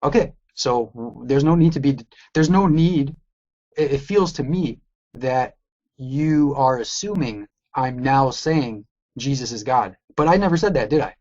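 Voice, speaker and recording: A man's voice: mid-range voice, animated, unhurried, darkish tone, highs cut off. A man in a heated debate, combative and repeating his challenges.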